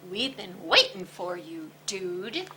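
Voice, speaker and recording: A woman's voice: medium pitch, sing-song, slow pace, noisy background. A woman voicing a laid-back male chihuahua, the biggest of a small pack. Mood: easygoing.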